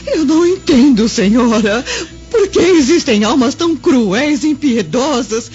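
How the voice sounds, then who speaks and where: A woman's voice: high-pitched, animated, measured pace, a little mellow. An actress playing a humble, anguished servant woman in a radio drama, speaking in a plaintive, emotional way. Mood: tearful bewilderment. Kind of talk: melodramatic radio drama acting. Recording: dull radio drama, music behind.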